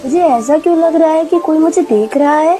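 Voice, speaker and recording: A woman's voice: high voice, brisk, natural voice. A woman voicing a brave, determined young village wife in a cartoon story, earnest and emphatic. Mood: puzzled. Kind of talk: Hindi cartoon story acting.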